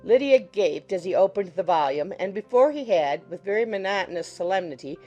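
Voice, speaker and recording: A woman's voice: medium pitch, expressive, fairly quick, darkish tone, faint music behind, low-quality recording. A woman reading scripted narration from a classic novel as an audiobook narrator.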